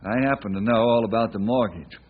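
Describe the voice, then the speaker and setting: An actor playing a steady, kindly ranger in an old-time radio western, speaking in an earnest, folksy, persuasive way. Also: male voice, fairly low pitch, brisk, lightly acted. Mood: earnest, calm. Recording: dull, lo-fi old radio recording.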